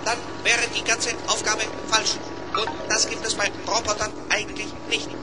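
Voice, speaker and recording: An actor playing a small, literal-minded robot in a children's radio play, speaking in a matter-of-fact, deliberate way. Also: medium pitch, level tone, heavy background noise, close to an everyday voice. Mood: calm, dutiful.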